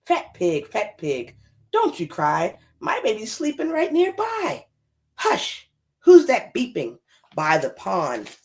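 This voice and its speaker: Anxious young-child's mama for a picture book, woman reader: pleading, hushing, sing-song, swooping intonation. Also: medium pitch, huge pitch swings, takes it slowly, heavily acted. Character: anxious mother hushing animals to protect her sleeping baby.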